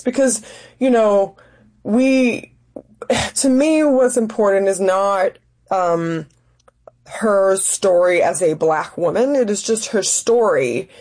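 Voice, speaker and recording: Mellow, low-pitched woman, thoughtful. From Denmark. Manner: thoughtful, searching for words. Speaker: interview guest, actress and writer. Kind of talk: reflective conversational interview.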